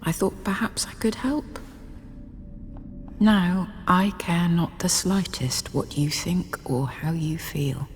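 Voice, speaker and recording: An actress playing a weary, embittered noblewoman in a game cutscene, hushed and wounded. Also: lowish voice, takes it slowly. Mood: bitter, resigned. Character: weary, embittered noblewoman wife, quietly resigned. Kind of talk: dramatic video game cutscene acting. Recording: long reverb, faint background noise.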